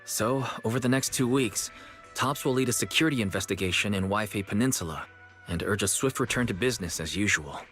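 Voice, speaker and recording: An actor playing an earnest, level-headed young disciple in a video game, explaining things in a measured way. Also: male voice, lowish voice, a bit bright, faint music behind, natural voice. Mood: serious, informative.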